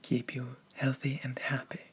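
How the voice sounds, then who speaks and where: A man playing a haughty, possessive demon prince in an ASMR roleplay, speaking formally and softly, often dropping into a whisper. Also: mid-range voice, monotone, moderate pace, a little hiss or hum, natural voice. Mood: possessive, coaxing. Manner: pompous, formal, softly condescending.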